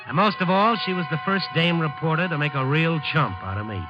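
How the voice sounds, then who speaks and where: A man's voice: on the high side, a little fast, natural voice. Male actor, hard-boiled reporter, wry and dry, 1950s radio drama narration, dull vintage recording, prominent music. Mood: brooding narration. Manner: dry wisecracking delivery.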